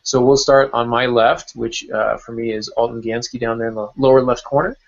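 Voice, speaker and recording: A man with a mid-pitched voice hosts a casual podcast roundtable, speaking conversationally. The sound is dull and lo-fi, like an online video call. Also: fairly even intonation, brisk, vocal fry. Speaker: podcast host.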